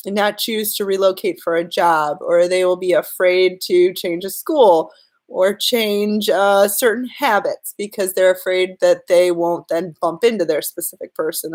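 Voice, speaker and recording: Woman with a cold giving earnest advice. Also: medium pitch. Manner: earnest, direct advice spoken straight to the listener. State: has a slight cold. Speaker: relationship and law-of-attraction coach. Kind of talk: live-streamed advice talk.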